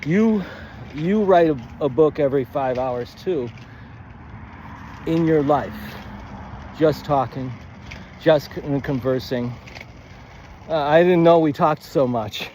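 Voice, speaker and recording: A man giving a rambling, informal lesson as a writing coach, thinking aloud in a halting, stammering way and often wandering off on tangents. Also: mid-range voice, dark tone, a little hiss or hum. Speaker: writing coach, presenter of a video series.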